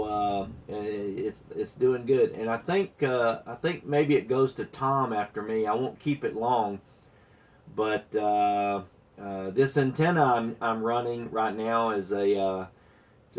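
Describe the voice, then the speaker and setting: A man checking in casually as an amateur radio operator over AM shortwave, talking in a halting, unhurried way. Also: mid-range voice. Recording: distant-sounding AM shortwave radio transmission.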